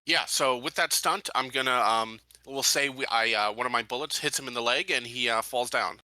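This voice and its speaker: Very bright-voiced man playing a tabletop game. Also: mid-range voice, normal speed, no room sound. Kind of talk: casual chat.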